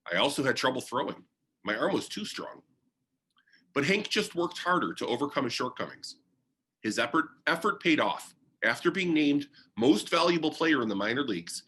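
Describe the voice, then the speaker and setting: A man narrates a biography as if telling a story, reading from a text. His voice is bright and forward, with pitch that moves around in a lively way and an expressive delivery. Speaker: reader of a biography. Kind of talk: read-aloud biography narration.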